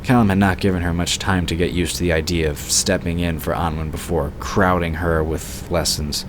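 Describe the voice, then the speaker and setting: A man with a deep voice delivers scripted fantasy narration. There is clear noise and ambience behind his reading. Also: flat delivery, normal speed.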